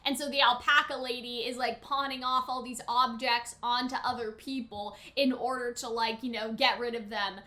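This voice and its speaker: A woman with a high and very bright, forceful voice gives casual reaction commentary. She talks expressively and conversationally, with plenty of slang, as the host of a review. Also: steady tone, moderate pace, hardly any pauses.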